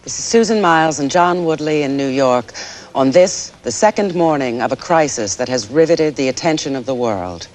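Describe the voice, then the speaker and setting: A woman's voice: sits low, animated, moderate pace, a little mellow. An actress playing a serious female network news anchor reading a scripted bulletin in a 1980s TV drama. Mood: grave.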